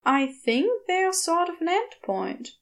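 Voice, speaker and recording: A woman plays a gentle angel in the body of a giant Tyrannosaurus for a storybook. He is fussy, polite and hesitant, in a raised, high voice. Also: very animated, measured pace, exaggerated voice. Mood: uncertain. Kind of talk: storybook character voice in audio fiction.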